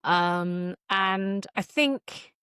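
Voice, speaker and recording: A woman's voice: medium pitch, very slow, pushed and bright, clear, resonant tone, no room sound, studio quality. A woman author in a casual interview, talking in a wry, chatty way.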